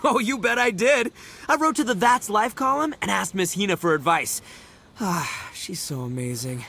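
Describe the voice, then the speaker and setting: A man voicing a boastful, excitable young fishing enthusiast in game dialogue. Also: high voice, moderate pace, creaky, a little hiss or hum, heavily acted.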